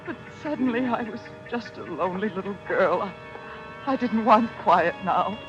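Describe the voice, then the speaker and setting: A woman's voice: medium pitch, unhurried, lo-fi sound, natural voice. Grieving widow for vintage radio thriller, female performer: breathless, anxious narration and dialogue, music underneath. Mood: rising panic. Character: grieving, lovesick, anxious newly widowed woman. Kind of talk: old-time radio drama acting with first-person narration. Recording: old radio recording with music. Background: dramatic music.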